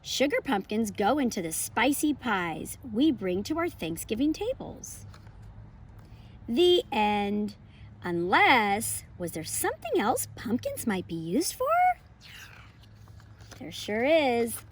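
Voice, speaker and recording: An American woman hosting a homeschool lesson and children's read-aloud, with expressive, emphatic storybook phrasing. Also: a little high, slow pace, husky. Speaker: homeschool educator and channel host.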